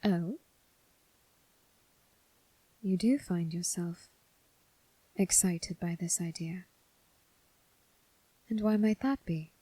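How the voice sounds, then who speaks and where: A woman voicing a coolly curious, formal demon servant, softly teasing and half-whispering in an ASMR roleplay. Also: on the low side, dry recording, heavily acted, low-quality recording. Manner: soft, measured, breathy, half-whispered.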